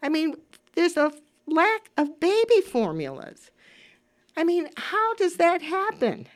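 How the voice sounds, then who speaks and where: A woman's voice: very high voice, big pitch swings, slow pace. A woman in her seventies hosting a talk radio show, speaking casually and emphatically, repeating phrases for stress as she gives her opinions. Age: 70s. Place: radio studio.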